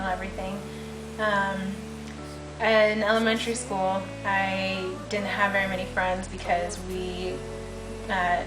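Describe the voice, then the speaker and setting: A woman in her twenties, born in Hungary and raised in California, telling her personal testimony in a halting way, with many ums and restarts. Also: medium pitch. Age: 20s. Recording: roomy, distant mic with music behind.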